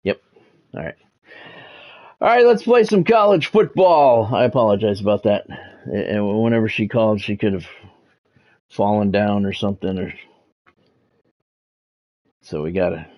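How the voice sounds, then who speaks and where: A man's voice: fairly low pitch, expressive, a little slow, long pauses, dull audio. A middle-aged man hosting a livestream, mixing casual chat with announcer-like football play-by-play commentary. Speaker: livestream host, game commentator.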